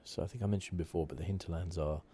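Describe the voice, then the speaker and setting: A man softly giving relaxed, hesitant ASMR commentary over a video game playthrough, close to the microphone. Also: low voice, very fast. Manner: soft-spoken, relaxed, hesitant. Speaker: gaming video host. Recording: clear steady background noise.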